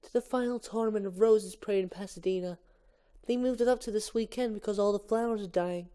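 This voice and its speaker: Cartoon woman florist, resigned and matter-of-fact, soft-edged mellow tone read by a man. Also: sits high, brisk, clean tone, lightly acted.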